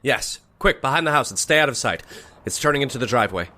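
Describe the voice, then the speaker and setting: A man's voice: medium pitch, lively intonation, fast talker, sharp tone. A man playing a tense, guarded doctor in an old-time radio horror play, speaking in an urgent, clipped, earnest way. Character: tense, guarded male doctor in a mystery thriller.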